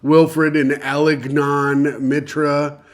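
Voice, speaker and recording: A man co-hosting a casual comedy podcast, loose and teasing, quick to laugh. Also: on the high side, flat delivery. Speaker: podcast co-host.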